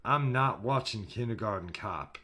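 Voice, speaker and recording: A man's voice: on the low side, lively intonation, moderate pace, bright, forceful tone. A man acting a casual, easygoing young man in an amateur audio story.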